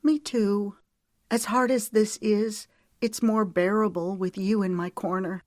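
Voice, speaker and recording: Narrator voicing a stubborn, emotional woman, lifted pitch. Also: fairly even intonation, unhurried, darkish tone, no room sound, clean studio sound, lightly acted. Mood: curious, dry. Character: stubborn, emotional woman pleading for her freedom.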